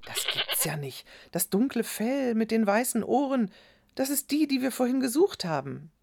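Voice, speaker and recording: Woman voicing an eager, high-pitched young boy. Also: very animated, unhurried, darkish tone. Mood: amazed, excited. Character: young boy, eager, apologetic, defensive grandson.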